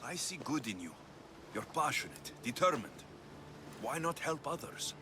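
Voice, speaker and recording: A man playing a tough, level-headed mercenary in a video game, with a Greek accent and a measured, plain-spoken delivery. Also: medium pitch, sing-song, background noise, lightly acted. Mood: earnest. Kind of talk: video game cutscene acting.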